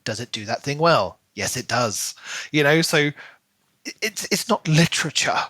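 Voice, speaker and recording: A man's voice: fairly high pitch, animated, moderate pace, bright, forceful tone, dry recording. A man, co-hosting a podcast and chatting casually about books. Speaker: podcast co-host. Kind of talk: casual book review chat.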